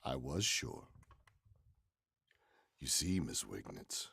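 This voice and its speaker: An actor playing a menacing, commanding murderer in 1940s radio horror style, gravelly and theatrical. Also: male voice, rumbling low, sing-song, slow pace, dry recording, clean studio sound, full character voice. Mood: cold, menacing. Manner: theatrical, forceful. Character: menacing male murderer, commanding, coldly confessing.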